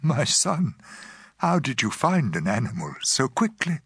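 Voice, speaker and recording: An actor playing a frail old father who speaks solemnly and haltingly in a dramatized scripture story. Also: mid-range voice, big pitch swings, fairly bright tone, breathy edge, no echo, clean studio sound, exaggerated voice. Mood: wary, tender. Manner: slow, weighty, halting delivery. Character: frail old blind father, solemn patriarch giving blessings. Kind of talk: dramatized scripture reading with music.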